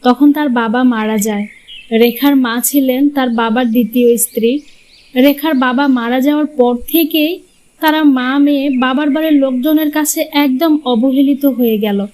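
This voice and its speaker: A woman with a high voice narrates a children's moral tale. She works as a storyteller, reading scripted narration for a cartoon. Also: normal speed, darkish tone, rough recording.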